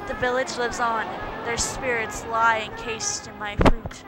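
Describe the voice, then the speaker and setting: A woman voicing a gentle female tree spirit from a video game, speaking in a formal, reverent and pleading way. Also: fairly high pitch, flat delivery, unhurried, loud music behind the voice, a loud crowd, lightly acted, rough recording. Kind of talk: video game cutscene voice-over, read aloud.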